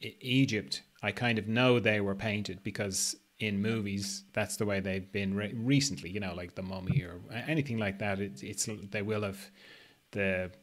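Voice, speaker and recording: A man's voice: fairly low pitch, steady tone, normal speed, slight background noise. Older man, musing podcast host. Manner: hesitant, musing, thinking aloud. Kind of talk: casual chat, asking questions. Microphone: video call with earpiece.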